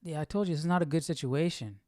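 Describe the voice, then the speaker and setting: A man's voice: a little high, quick pace, mellow. A man hosting a casual live Bible study stream, thinking aloud in an informal, hesitant way.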